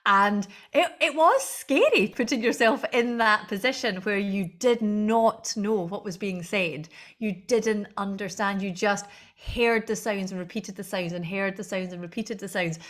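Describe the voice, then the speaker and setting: A woman leads the conversation as interviewer. Her voice sits at a middle pitch, and she speaks thoughtfully, often restarting a phrase before going on. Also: unhurried, hardly any pauses, a bit bright.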